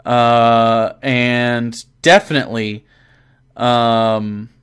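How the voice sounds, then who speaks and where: A man's voice: mid-range voice, flat delivery, extremely slow, a bit bright, clean tone. A young man chatting casually on a live stream, reading out viewer messages and answering them with profane, rambling jokes. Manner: reads donations and chat messages aloud. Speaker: game master answering viewer questions.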